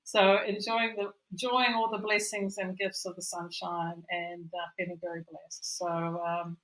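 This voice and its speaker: A woman's voice: fairly low pitch, level tone, unhurried, a bit bright, poor audio. A woman co-hosting a casual chat as a coach, talking reflectively and rambling, with many "you know"s and "like"s, over a remote call. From Europe. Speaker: podcast co-host and coach.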